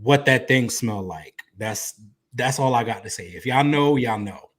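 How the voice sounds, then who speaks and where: A man's voice: mid-range voice, expressive, a little slow. A man in his thirties in casual podcast chat, playful and emphatic. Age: 30s. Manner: playful, emphatic, hypes up songs. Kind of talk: casual chat on a live stream.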